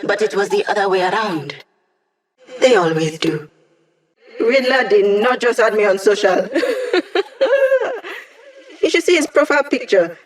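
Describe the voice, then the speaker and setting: A woman's voice: medium pitch, lively intonation, unhurried, pauses often, lightly acted. An actress playing a young female hacker and tech ally in a video game, upbeat, casual and playfully sardonic. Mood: amused, snarky.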